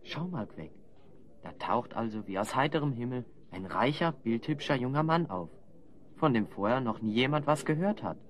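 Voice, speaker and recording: A man acts the clever hero of a children's radio play. His mid-pitched voice moves widely, animated, self-assured and knowing. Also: moderate pace, close to an everyday voice. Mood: knowing, sly. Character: shrewd, self-assured adventurer hero, explaining clues. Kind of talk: German children's audio drama acting.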